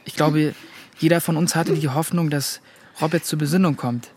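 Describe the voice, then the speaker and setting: Young man as a shaken teenage boy, higher-pitched voice. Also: fairly even intonation, normal speed, a little mellow, slight background noise, natural voice. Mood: earnest recounting. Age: young adult. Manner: earnest first-person recounting. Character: shaken fourteen-year-old boy recounting a hostage ordeal. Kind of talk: radio drama narration.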